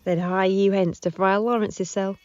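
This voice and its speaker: A woman's voice: mid-range voice, fairly even intonation, normal speed, darkish tone, a little hiss or hum, natural voice. A woman playing a tired, teasing older nurse in a Shakespeare audio drama, rambling and stalling breathlessly. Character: weary, teasing older female nurse, servant and go-between. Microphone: phone, recorded at home. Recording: muffled lo-fi home phone recording.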